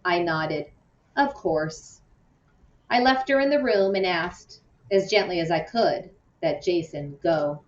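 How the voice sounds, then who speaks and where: A woman's voice: on the low side, a little slow, hardly any pauses. A woman reading a children's novel aloud with expression, like an audiobook narrator. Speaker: audiobook reader. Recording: muffled, roomy, distant from mic.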